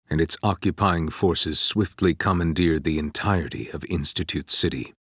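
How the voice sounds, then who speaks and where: An ominous video game narrator chronicling a war. His voice is extremely low and husky, recorded close and dry. He delivers the scripted story gravely and deliberately, with solemn, portentous weight. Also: dry recording, exaggerated voice.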